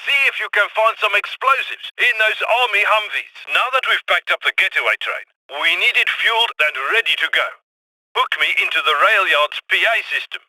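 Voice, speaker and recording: High, sharp-voiced heist handler. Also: male voice, expressive, no echo, heavily acted, poor audio. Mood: urgent. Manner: brisk, clipped, instructing. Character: urgent, scrappy male heist handler giving orders. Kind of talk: video game voice acting.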